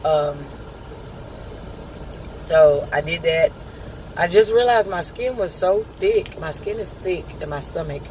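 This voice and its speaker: Man, slightly high voice, loose unscripted chat full of pauses, faint car hum behind. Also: a little mellow, rough recording. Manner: rambling, halting with many pauses. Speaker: vlogger. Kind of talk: casual personal update.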